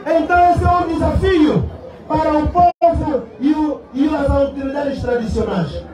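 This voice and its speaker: A man's voice: extremely high pitch, fairly even intonation, measured pace. An Angolan man giving an impassioned political rally speech in Portuguese-accented declamation, throwing rhetorical questions out to his listeners. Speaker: political party secretary addressing a rally. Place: open-sided outdoor rally stand under a canopy. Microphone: cluster of press microphones and PA. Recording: echoing PA, distant, noisy crowd. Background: rally crowd.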